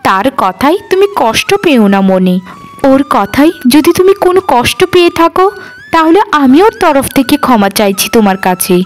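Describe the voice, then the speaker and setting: A woman voicing a kind, humble young village wife in a cartoon, gentle and apologetic. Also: high voice, sing-song, normal speed. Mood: gentle, concerned. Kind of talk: Bengali cartoon dialogue.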